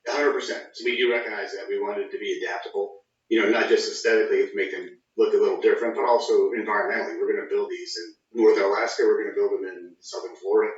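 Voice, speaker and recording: A man's voice: lowish voice, steady tone, fast. A man giving informal technical explanations as an engineer being interviewed, often saying "you know" and "sort of.". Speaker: government project engineer interviewee. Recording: roomy, distant, dull sound.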